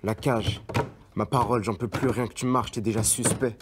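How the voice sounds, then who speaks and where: A man's voice: lowish voice, fairly even intonation, moderate pace, noisy background, natural voice. An actor playing a young man born in France, performing a slam poem with punchy rhythm and street slang. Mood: brooding. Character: angry, restless young man full of pent-up rage. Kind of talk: performed slam poetry, film drama.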